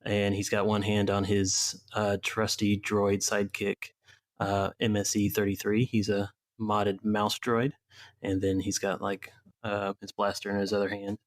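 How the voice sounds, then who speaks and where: Male tabletop player, low register, little pitch movement, informal character introduction. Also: monotone, measured pace.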